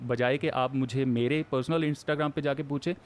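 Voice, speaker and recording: A man co-hosting a casual podcast chat, joking around and mixing Hindi with English, with "uh" pauses as he explains. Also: mid-range voice, steady tone. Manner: explains plainly with filler "uh" pauses. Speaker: podcast co-host.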